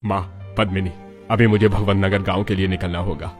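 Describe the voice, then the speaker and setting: A man's voice: low-pitched, steady tone, a little fast, music in the background, close to an everyday voice. A man playing a dutiful middle-aged rich father in a dramatized moral story, serious, resolute and respectful.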